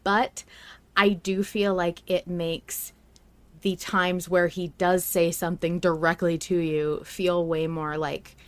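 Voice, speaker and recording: Casual podcast host, woman, reflective and laughing easily, lowish tone, dry close sound. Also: flat delivery, no echo. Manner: chatty, reflective, quick to laugh. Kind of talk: casual fan podcast chat.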